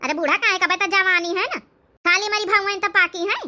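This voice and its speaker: A woman voicing a grumbling, scolding elderly village mother-in-law in a cartoon comedy, with an Awadhi accent and a complaining, sharp-tongued manner. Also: very high voice, fairly quick, heavily acted. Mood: bitter grumbling.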